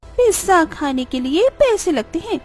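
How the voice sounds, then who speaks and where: A woman's voice: extremely high pitch, big pitch swings, unhurried, darkish tone, heavily acted. A woman voicing a haughty, rude restaurant owner in a cartoon story, scolding and scornful towards the poor. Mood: scornful, angry. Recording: background music under the voice.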